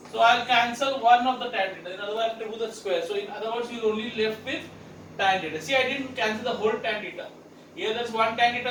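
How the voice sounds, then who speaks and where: A man's voice: very high-pitched, fairly bright tone, recorded from a distance. A man teaching a math lesson, walking students through each step with repeated questions. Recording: echoey room, voice set back from the mic, background noise.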